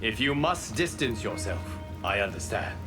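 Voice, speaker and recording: A man playing a calm, wise fire god in a fighting video game, speaking in a measured, formal, solemn way. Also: on the low side, over music, close to an everyday voice. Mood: solemn, firm.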